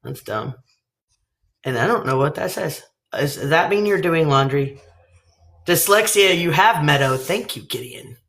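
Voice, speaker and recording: Texan woman host, lowish lively voice, loose and profane. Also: unhurried. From Texas. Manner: chatty, swearing, teasing, laughing. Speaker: livestream host. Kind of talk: casual live chat.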